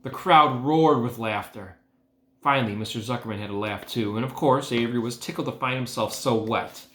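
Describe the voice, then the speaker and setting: A man reading a children's book aloud as host, with expressive, emphatic phrasing. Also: mid-range voice, moderate pace, slight background noise. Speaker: read-aloud host, educator. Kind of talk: storybook read-aloud narration. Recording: echoey room.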